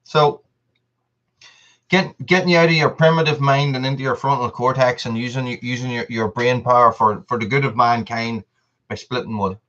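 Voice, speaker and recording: A man's voice: mid-range voice, fairly even intonation, moderate pace, a bit bright, highs cut off. A man, hosting a casual live stream and chatting to viewers. He rambles, repeats himself and laughs often. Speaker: channel host on a live stream.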